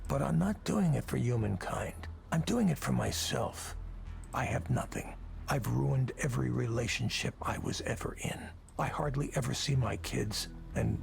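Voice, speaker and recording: Gravelly, world-weary tech executive. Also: male voice, medium pitch, darkish tone. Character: weary, confessional male tech executive, drunk and self-loathing. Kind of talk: visual novel voice acting.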